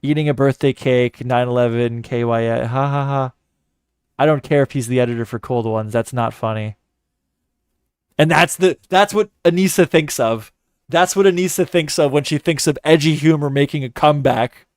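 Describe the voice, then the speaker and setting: A man's voice: medium pitch, normal speed, clean tone, no room sound, studio quality. A man giving mocking, profane commentary in a sarcastic, scornful way. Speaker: commentary host.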